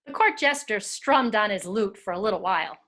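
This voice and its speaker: A woman's voice: medium pitch, lively intonation, a little fast, a bit bright. A woman narrating a storybook tale as part of a scripted readers theater performance.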